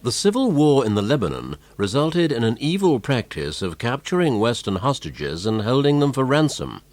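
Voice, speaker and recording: A man narrating a news retrospective in a measured, expressive newsreader delivery. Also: medium pitch, no echo.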